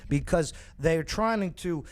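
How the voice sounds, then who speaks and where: A man's voice: a little high, takes it slowly. A man hosting an opinionated talk show panel, emphatic and insistent, often tagging his points with "okay?" and "right?".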